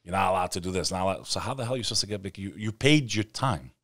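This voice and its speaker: A man's voice: deep voice, lively intonation, rapid-fire, fairly bright tone, dry recording, studio quality. A man hosting an interview who talks in a chummy, fired-up way and calls his guest "brother" and "man". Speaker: podcast host. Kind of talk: casual interview chat.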